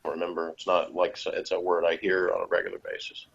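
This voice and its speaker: A man with an American accent and a fairly deep voice chats casually as a review co-host. His delivery is wry and joking. The recording is somewhat dull and lo-fi. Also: completely flat delivery, quick pace, gravelly, breathy edge. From United States. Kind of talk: casual jokey review chat.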